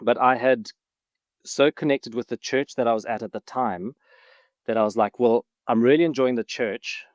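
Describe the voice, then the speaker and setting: Guy from zimbabwe chatting on a podcast, laughs a lot, mid pitch, dry close sound. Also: dry recording. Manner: laughs often, upbeat and enthusiastic. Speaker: guest, film writer-director. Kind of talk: casual interview chat.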